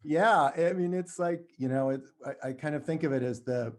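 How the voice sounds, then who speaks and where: A middle-aged man from New Mexico being interviewed, talking casually and haltingly, often correcting himself. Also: on the high side. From New Mexico, United States. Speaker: podcast guest, writer.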